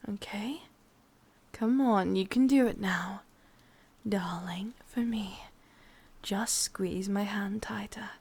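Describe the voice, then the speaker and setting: A woman's voice: medium pitch, darkish tone, husky. A woman with an English accent playing a sadistic, possessive yandere witch in an ASMR roleplay, mostly whispering in a coaxing yet commanding way.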